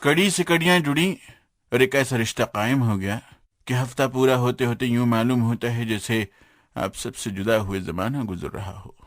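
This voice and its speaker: A man's voice: mid-range voice, moderate pace, soft background music. A man with an Indian accent presenting a radio show in scripted, flowery phrasing.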